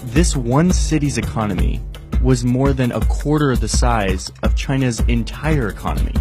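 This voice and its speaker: A man narrating a scripted documentary explainer in a clear, explanatory way. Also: mid-range voice.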